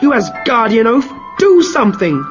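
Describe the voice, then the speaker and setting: A man voicing a shrill, furious goddess who scolds her husband. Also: huge pitch swings, moderate pace, muffled recording, soft background music, exaggerated voice. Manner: shrieking, scolding.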